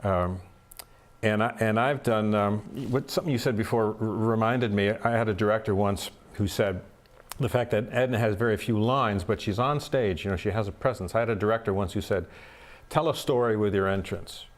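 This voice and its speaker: Deep-voiced American actor, thoughtful. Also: male voice, normal speed. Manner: thoughtful, repeats words as he starts sentences. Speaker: actor in a community theater play. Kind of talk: casual interview chat.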